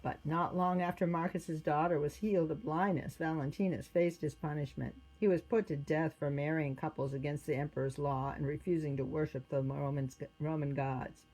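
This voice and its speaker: A woman's voice: low-pitched, fairly even intonation, moderate pace, hardly any pauses, darkish tone, rough recording. A woman reading a children's religious story aloud as its narrator.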